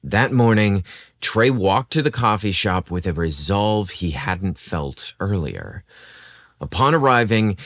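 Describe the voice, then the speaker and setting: A man reading a scripted romance story as a podcast narrator, in a dramatic, expressive storytelling manner. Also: low voice, no echo, studio quality.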